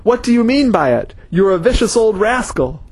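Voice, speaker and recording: A man voicing an injured man who yells in outrage, his voice husky. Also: high-pitched, very animated, normal speed, dry recording, pitched above the performer's own voice, full character voice. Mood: outraged. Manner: yelling, outraged. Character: angry injured man with crushed foot.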